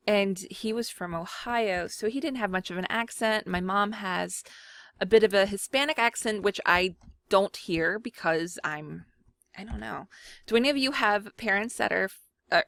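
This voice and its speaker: Woman vlogger from Mississippi, slight fry in the voice, dry close mic, rambling casual chat. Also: mid-range voice, fairly bright tone, no echo. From Mississippi, USA. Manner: rambling, self-deprecating, thinks aloud with fillers. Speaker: scrapbooking vlogger. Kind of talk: casual chat while working.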